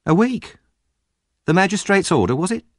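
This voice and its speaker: A man voicing a cocky, streetwise boy who acts like a grown gentleman, brisk and chummy in an audiobook story. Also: high-pitched, big pitch swings, no room sound, studio quality, voice pushed up. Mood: curious, cocky.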